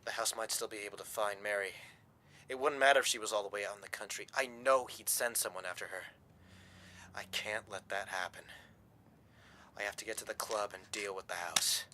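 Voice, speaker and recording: A man's voice: lowish voice, fairly even intonation, brisk, pushed and bright, a little hiss or hum. An actor in a radio drama playing a desperate, guilt-ridden young gangland man who is protective of his lover, earnest and intense. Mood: grim, brooding.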